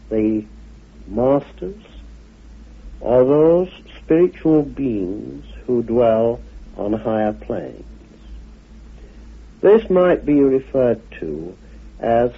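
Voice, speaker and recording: A man giving an explanatory lecture on esoteric history, speaking in a deliberate, measured way with emphatic phrasing and pauses, on a muffled vintage radio recording. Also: medium pitch, husky. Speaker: lecturer, guest speaker on a documentary.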